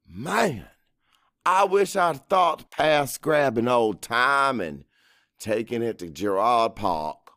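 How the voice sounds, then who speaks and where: A man's voice: medium pitch, expressive, slow, fairly bright tone, no echo, clean studio sound. A man playing a middle-aged Cajun father, anxious and guarded, narrating his own story in a brooding, conversational audiobook style. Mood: brooding, wry. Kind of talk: first-person dramatic audiobook narration.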